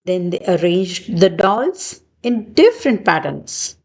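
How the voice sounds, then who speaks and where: Very slow-paced woman reading a children's storybook. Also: lowish voice, very animated. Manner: deliberate, short measured phrases. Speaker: children's book reader. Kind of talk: read-aloud storybook narration for young children.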